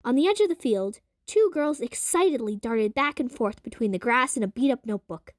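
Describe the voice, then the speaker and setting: A woman's voice: fairly high pitch, lively intonation, fairly quick, no room sound, clean studio sound. A woman reading scripted narration for an audio drama.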